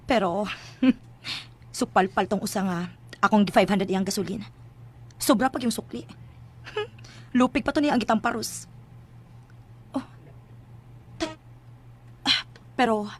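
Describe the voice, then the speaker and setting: Husky, feisty, griping young woman. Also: on the high side. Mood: smug, scornful. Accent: Filipino. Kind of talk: Filipino radio drama acting.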